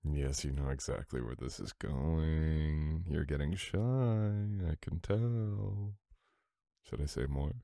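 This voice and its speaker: Very deep, gravelly sweet boyfriend played by a man. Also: slow, dark tone, no echo, clean studio sound, exaggerated voice. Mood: affectionate teasing. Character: sweet, teasing, affectionate young boyfriend. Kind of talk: intimate ASMR boyfriend roleplay.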